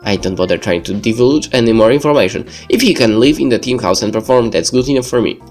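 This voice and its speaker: A male let's player reads game narration aloud at an ordinary mid pitch. Soft game music runs underneath his voice. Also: animated, quick pace. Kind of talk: reading visual novel narration aloud.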